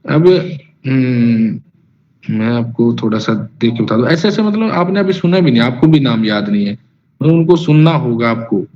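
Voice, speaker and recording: A man's voice: medium pitch, expressive, moderate pace, a little mellow. A man teaching an online singing class in a casual, rambling way, talking straight to his students and singing note names. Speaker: music teacher. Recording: lo-fi online class audio with faint noise.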